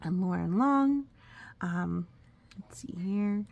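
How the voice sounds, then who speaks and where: Gravelly-voiced mom reading aloud. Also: female voice, medium pitch, lively intonation, slow, darkish tone, dull audio. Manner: asks and echoes a small child's questions. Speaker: mother reading to her child. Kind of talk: picture-book read-aloud with chat to a child. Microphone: phone recording.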